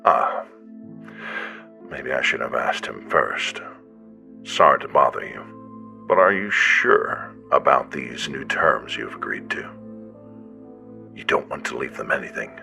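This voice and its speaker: A man playing a polite, smooth-talking business associate with a courteous, measured manner in a superhero audio drama. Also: extremely low pitch, animated, pushed and bright, soft background music, full character voice. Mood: courteous, probing.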